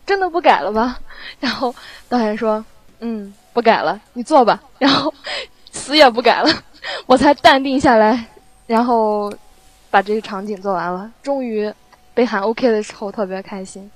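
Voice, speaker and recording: Female audio editor, higher register, relaxed casual chat with a playful streak. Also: moderate pace, dry recording, low-quality recording. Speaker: audio drama post-production sound editor.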